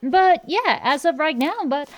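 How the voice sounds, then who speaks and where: Casting: female performer as a young-sounding fantasy dragon girl, high pitch, unhurried delivery, cocky tomboy scamp, defensive banter, ASMR roleplay. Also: animated. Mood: defensive, sheepish. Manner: blustering, bantering, hesitant excuses full of drawn-out pauses. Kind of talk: ASMR roleplay, one-sided comedic dialogue.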